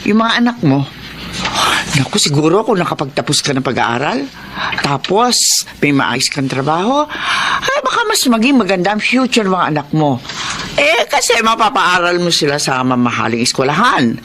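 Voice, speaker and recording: A woman's voice: lowish voice, sing-song, normal speed, fairly bright tone, slight background noise. An actress playing a boastful, chatty middle-aged mother in a Filipino radio drama, with an effusive Filipino way of speaking. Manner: bragging, effusive.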